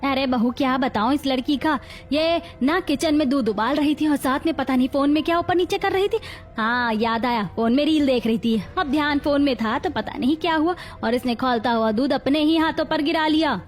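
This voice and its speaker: A woman's voice: sits high, moderate pace, close to an everyday voice. A woman playing a cruel, scheming middle-aged mother-in-law who bosses and threatens in a dramatized story. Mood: falsely sweet. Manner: menacing, commanding.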